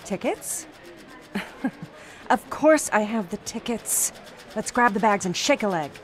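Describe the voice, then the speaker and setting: Medium-pitched female voice, bossy crook acted by a woman, wry slang, commanding, radio drama, clear music underneath. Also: animated, measured pace, lightly acted. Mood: brisk. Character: tough, bossy, wisecracking female bank teller turned thief. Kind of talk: period radio drama acting.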